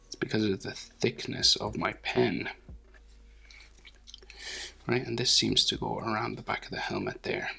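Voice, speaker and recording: Gravelly man with a head cold. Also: lowish voice, huge pitch swings, unhurried, long pauses, sharp tone. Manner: thinking aloud, hesitant, many fillers, drops to near whispers. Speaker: drawing tutor. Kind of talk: casual drawing commentary.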